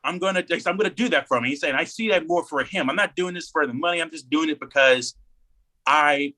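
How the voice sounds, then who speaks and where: Bright, higher-voiced man chatting on a podcast. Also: a little fast. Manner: animated storytelling, acts out quotes in slangy speech. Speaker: podcast co-host. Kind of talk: casual podcast discussion.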